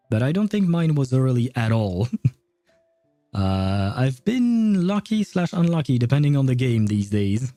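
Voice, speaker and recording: A man with a mellow, relaxed voice gives casual game commentary. He chats wryly with viewers on a dry, close mic, with faint music behind him. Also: on the low side, no room sound. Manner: informal, swearing, wry. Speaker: game livestreamer. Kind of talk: casual live gaming commentary, chatting with viewers.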